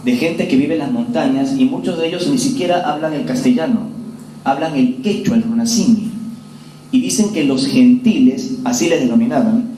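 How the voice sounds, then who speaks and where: A man's voice: fairly high pitch, dark tone, distant mic, a little hiss or hum. Peruvian-born man lecturing in a roomy hall. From Peru. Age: 40s. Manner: earnest, measured storytelling to an audience. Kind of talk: public lecture. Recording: roomy hall with faint ambience.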